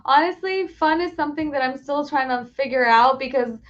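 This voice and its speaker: A woman's voice: high voice, a little fast, dull audio. A woman working as an insurance agent, guest on a podcast, explaining things plainly and reassuringly in casual conversation. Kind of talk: casual interview chat.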